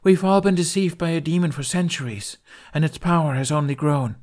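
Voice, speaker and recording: Man voicing a bold young Viking woman, raised pitch. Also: brisk, a little mellow, close to an everyday voice. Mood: firm, urgent. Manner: strong, assertive.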